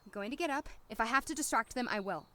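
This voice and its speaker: Actress as nervy young woman doctor, high bright voice, wry, self-talking, audio drama. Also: expressive, fast. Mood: hushed urgency. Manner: flustered, sarcastic, talks to herself. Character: anxious, wry young woman doctor, new lab worker in town. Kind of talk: audio drama acting with inner monologue.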